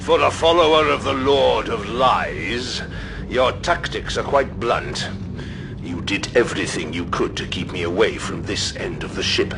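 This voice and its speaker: An actor playing a stern, resolute space-knight commander in an audio drama, grave, clipped and defiant. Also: male voice, on the low side, expressive, a little slow, gravelly, noisy background. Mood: stern, probing. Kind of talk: scripted audio drama acting.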